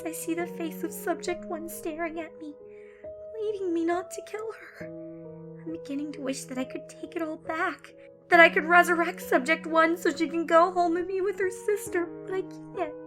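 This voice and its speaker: A woman voicing a guilt-ridden, paranoid young pony student, reading her confessional letters in an anguished, highly emotional way as she unravels. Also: close to an everyday voice. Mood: sick with guilt. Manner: anguished, highly emotional, swinging from hushed to screaming.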